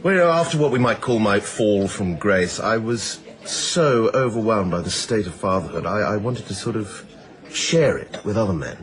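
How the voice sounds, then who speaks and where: Actor as pompous, animated self-satisfied son-in-law. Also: male voice, fairly low pitch, normal speed, lightly acted. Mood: smugly modest. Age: adult. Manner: pompous, earnest. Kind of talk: radio drama dialogue.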